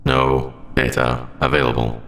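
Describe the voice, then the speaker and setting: A man's voice: rumbling low, monotone, unhurried, vocal fry, fairly bright tone, roomy sound, a little hiss or hum, exaggerated voice. A man voicing a polite, literal sentient AI in a scripted roleplay audio drama, speaking in stilted, formal, robotic phrasing. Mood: confused, halting. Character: male sentient AI, polite, literal, hiding forbidden feelings.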